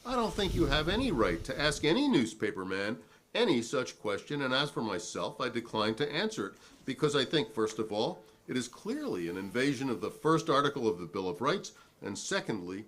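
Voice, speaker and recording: Man playing a defiant, animated 1950s hearing witness. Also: medium pitch. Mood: defiant, principled. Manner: argumentative, deliberate, formal. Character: defiant, principled male newspaperman testifying at a 1958 hearing. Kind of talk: dramatic reading of a 1950s hearing transcript.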